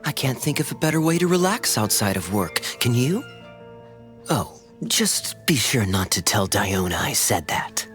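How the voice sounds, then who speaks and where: An actor playing a suave, sly young knight in a video game, smooth, unhurried and playfully coy. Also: male voice, mid-range voice, sing-song, a bit bright, faint music behind. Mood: teasing, relaxed. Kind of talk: video game cutscene acting.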